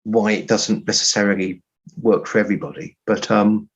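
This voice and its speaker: A man telling stories as an interview guest in a halting, rambling, self-deprecating way, on muffled audio. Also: on the low side, fairly even intonation, slightly rough. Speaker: guest writer. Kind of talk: conversational interview storytelling.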